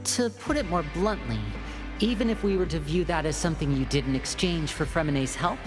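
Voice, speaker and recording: A courteous young man plotting calmly in a video game, played by a male actor. His voice is light, and he lays out his points in measured, articulate phrases. Music plays clearly behind him. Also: fairly high pitch, lightly acted. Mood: explaining, considerate. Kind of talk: video game character acting.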